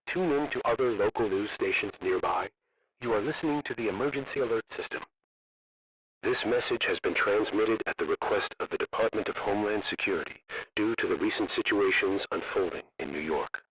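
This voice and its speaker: A man playing a solemn official emergency alert announcer, reading a scripted broadcast in a formal, measured, impersonal manner. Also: lowish voice, fairly bright tone. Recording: muffled, noisy distant radio broadcast.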